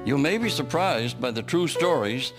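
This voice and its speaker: A man introducing a radio drama series in an inviting, smooth announcer manner. His pitch is middling. Plucked guitar music plays clearly beneath him. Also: lively intonation, moderate pace, rough recording. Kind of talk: scripted introduction.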